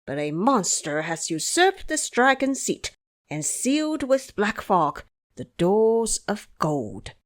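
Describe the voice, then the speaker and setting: A woman's voice: mid-range voice, sing-song, takes it slowly. A woman voicing a bold, mischievous male monkey hero in an audio drama, confident and declamatory. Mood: solemn. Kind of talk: audio drama character reading.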